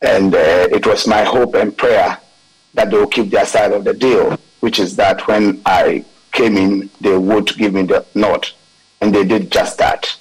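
A man, a politician speaking in a measured, hesitant way with fillers. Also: on the low side, steady tone, husky, poor audio. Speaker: politician guest.

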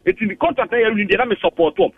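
A man with a Ghanaian accent calling in to a radio sports show, arguing his point haltingly and mixing Twi and English. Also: high-pitched, breathy edge, no echo. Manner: argumentative, halting, repeats himself, mixes Twi and English. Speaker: phone-in caller. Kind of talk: heated opinion on a radio call-in. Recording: dry tinny phone line, distant.

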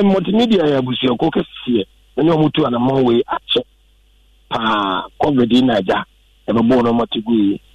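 A Ghanaian man answers in a live radio interview, earnest and a little halting. His voice is husky and mid-pitched, and the sound is muffled and dry. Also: a little mellow, no echo. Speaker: restaurant owner guest.

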